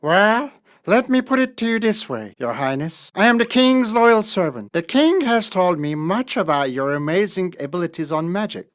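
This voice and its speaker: A woman's voice: lowish voice, very animated, moderate pace. A woman voicing a clever, confident male cat in a children's fairy tale cartoon, speaking persuasively and with courtly manners. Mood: courteous, sly. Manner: persuasive, deferential to royalty.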